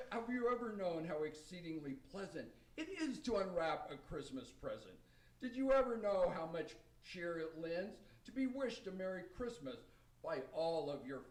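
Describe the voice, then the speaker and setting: A man's voice: sits high, far from the mic. Kindly, earnest Father Christmas figure, played by a man, reciting radio-play verse in a roomy echo, off mic. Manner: declaiming rhymed verse, earnest. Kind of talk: amateur radio play acting.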